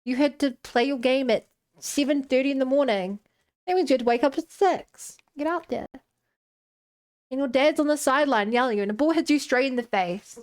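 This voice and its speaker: A woman's voice: high-pitched, brisk, darkish tone. A woman in her twenties streaming a game, rambling and joking as she talks to her chat. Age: 20s. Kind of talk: casual gameplay commentary.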